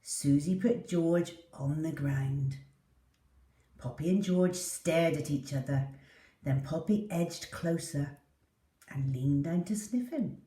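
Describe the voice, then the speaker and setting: A woman's voice: sits low, slow pace, warm tone. A woman reading a children's story aloud with theatrical, dramatic delivery. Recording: echoey room, distant from the mic.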